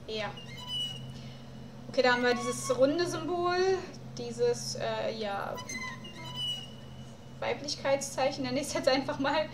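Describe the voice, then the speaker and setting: A woman's voice: high-pitched, takes it slowly, long pauses, echoey room, music in the background, rough recording. A young woman doing casual let's play commentary, thinking aloud through puzzles at home on a mic. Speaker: let's player.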